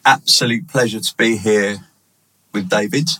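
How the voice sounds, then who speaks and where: A man's voice: fairly low pitch, measured pace, sharp tone, slight background noise, rough recording. A man hosting an interview, playfully formal and encouraging toward his guest. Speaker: interviewer, host. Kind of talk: casual interview.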